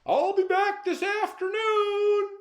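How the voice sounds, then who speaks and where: A man's voice: extremely high pitch, steady tone, takes it slowly, roomy sound, pitched above the performer's own voice. A man voicing a bossy, suspicious farmer father with an emphatic, scolding manner in a children's storybook. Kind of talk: children's storybook read-aloud.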